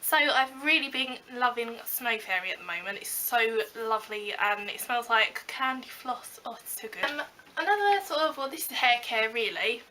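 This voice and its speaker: A young British woman with a slightly croaky voice from a cold, chatting casually through a beauty haul with lots of ums and likes. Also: fairly high pitch, animated, normal speed, sharp tone, a little hiss or hum, low-quality recording. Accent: British English. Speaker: beauty vlogger. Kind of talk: casual haul video chat.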